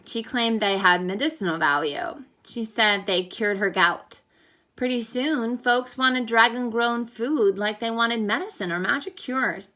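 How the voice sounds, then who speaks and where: A woman teacher reading a children's story aloud in an expressive, animated way, as if to young listeners. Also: mid-range voice. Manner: animated, pausing to ask listeners questions. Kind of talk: expressive storybook read-aloud with think-aloud questions.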